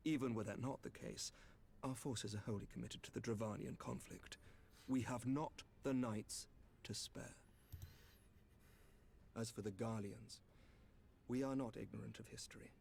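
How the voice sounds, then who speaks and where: An actor playing a courteous, grave knight commander in a fantasy game, speaking in formal, measured, archaic phrases with a slightly creaky voice. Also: male voice, mid-range voice, fairly even intonation, darkish tone, a little hiss or hum, natural voice. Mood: firm, measured. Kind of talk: scripted fantasy game dialogue.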